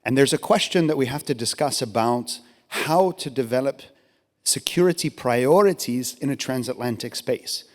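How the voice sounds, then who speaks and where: A man's voice: medium pitch, normal speed. A man in the audience asking the panel a question, conversational and correcting himself mid-sentence. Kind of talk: question from the floor. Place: large conference hall. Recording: long hall reverb.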